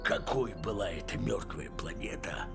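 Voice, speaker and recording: A man voicing a huge, boisterous, excitable alien monster archaeologist in an animated film, very theatrical in delivery. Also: normal speed, vocal fry, in a whisper, over music. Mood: dramatic. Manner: theatrical, swinging from booming exclamations to conspiratorial murmurs.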